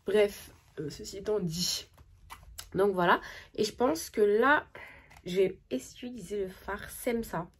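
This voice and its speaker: A young woman with a cold talks through her makeup tutorial in a husky, breathy voice. She chats eagerly and casually, full of slang and half-finished sentences, with her voice sitting around the middle of a woman's range. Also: mid-range voice, slow. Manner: chatty, excited, slangy, trails off often. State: has a cold. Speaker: makeup vlogger.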